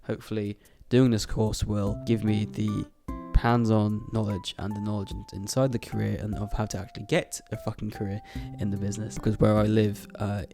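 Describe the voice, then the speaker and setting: A young man with a stuffy nose from a cold, rambling casually to camera about moving into his student flat, swearing often and laughing between thoughts. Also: lowish voice, fairly quick, gravelly, hardly any pauses, over music. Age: young adult. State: congested from a cold. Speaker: YouTuber. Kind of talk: casual vlog chat to camera.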